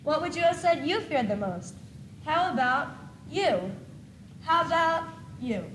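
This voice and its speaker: A woman's voice: sits high, very animated, measured pace, a bit bright, exaggerated voice. A young teenage girl acting as the earnest presenter of a school stage play. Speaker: student presenter. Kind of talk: scripted stage narration. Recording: echoey, faintly noisy old school tape.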